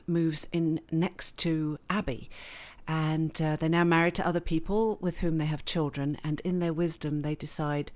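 Wry English woman, low and laughing. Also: darkish tone. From England, raised in Switzerland. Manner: wry, laughing easily, self-deprecating. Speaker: guest author. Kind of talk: casual podcast interview.

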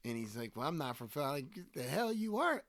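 A man with a Delco Philadelphia accent talks loosely in a casual book-club chat. He tells animated stories full of fillers and the odd swear word, and his voice sits in an ordinary middle register. The sound is dry and close, with no room around it. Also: mid-range voice, fast talker, dry recording. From Philadelphia area. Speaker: podcast co-host.

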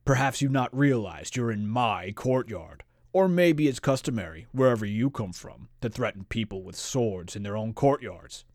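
A man's voice: medium pitch, lively intonation, moderate pace, few pauses, a little mellow, dry recording, close to an everyday voice. Guy doing a grumpy monster from a fantasy storybook, indignant and blustery, super dry close sound. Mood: mocking. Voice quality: raspy growl. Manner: theatrical, indignant exclamations. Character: gruff, blustering, grumpy beast-man monster lord of a manor.

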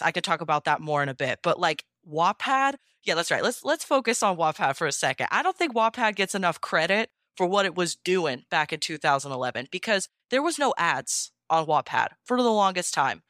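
A woman's voice: fairly low pitch, lively intonation, fairly quick, few pauses, fairly bright tone, no room sound, clean studio sound. A young woman chatting casually as a podcast guest, gushing with enthusiasm and peppering her talk with "like".

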